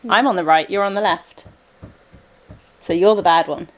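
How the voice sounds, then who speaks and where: A woman's voice: mid-range voice, moderate pace. A woman hosting a family vlog, chatting casually and explaining things in an informal way. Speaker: vlog host.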